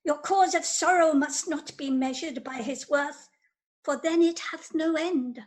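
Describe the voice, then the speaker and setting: Lady playing solemn messenger noble, high voice, careful shakespeare radio play delivery. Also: measured pace. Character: solemn noble messenger bearing news of death.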